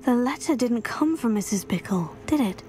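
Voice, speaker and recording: A woman playing a polite, caring teenage student witch in a video game, speaking in a measured, sincere way. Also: a little high, animated, warm tone, close to an everyday voice. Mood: cautious. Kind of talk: scripted video game cutscene acting.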